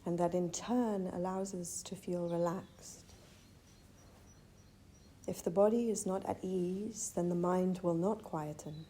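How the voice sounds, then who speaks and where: Woman leading a meditation, really slow and soft-edged mellow voice, gentle, bit of background noise. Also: fairly low pitch, fairly even intonation. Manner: gentle, measured, with long pauses. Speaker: meditation teacher. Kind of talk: guided meditation. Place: retreat venue. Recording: faint background ambient noise.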